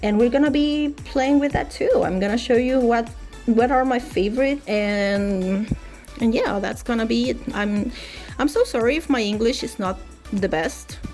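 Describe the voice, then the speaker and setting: A woman's voice: fairly high pitch, moderate pace, a little mellow. A young woman whose first language is Spanish, chatting casually as a gaming YouTuber with frequent restarts and filler words. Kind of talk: casual voice-over chat. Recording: faint music underneath.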